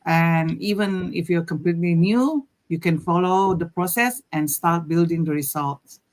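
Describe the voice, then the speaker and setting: A woman from Indonesia in her fifties, a guest on a live panel, speaking hesitantly with many pauses and "um"s. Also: fairly low pitch. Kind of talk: casual panel chat.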